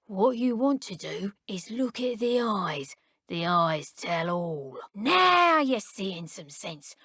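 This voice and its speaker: A self-important female villain from a wizarding game. Her British voice is raspy and unhurried, swinging widely in pitch. She lectures theatrically and runs short of patience quickly. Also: big pitch swings, sharp tone, no echo, clean studio sound, heavily acted. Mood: coaching, sly. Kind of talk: video game voice acting.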